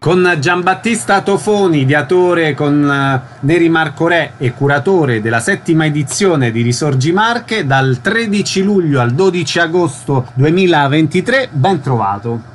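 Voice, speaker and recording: Radio host: man, somewhat high pitch, presenting and asking questions, light background noise. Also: expressive, a little slow, few pauses.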